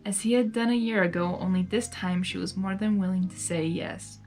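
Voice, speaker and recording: A woman hosting and narrating a live story reading in an expressive, giggly manner, reacting aloud as she goes. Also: medium pitch, fairly quick, faint music behind. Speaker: fan fiction reader and host.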